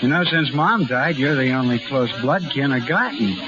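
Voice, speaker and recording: A man's voice: mid-range voice, lively intonation, moderate pace, close to an everyday voice. An actor playing a naive, earnest nineteen-year-old farm boy with a rural American accent in a 1930s radio drama. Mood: wistful, pleading. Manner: earnest, folksy country speech, polite, bashful. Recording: muffled 1930s radio broadcast, music.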